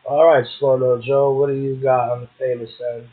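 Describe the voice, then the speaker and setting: Man, medium pitch, unhurried poetry reader and host, casual commentary, tinny narrow phone-like recording. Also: level tone. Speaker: poetry show host and poet. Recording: muffled, narrow phone-like sound.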